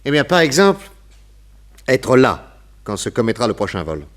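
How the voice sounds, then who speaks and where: An actor playing a shrewd, unflappable private detective in a French radio play, with a measured, dryly skeptical way of questioning. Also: male voice, mid-range voice, big pitch swings, natural voice.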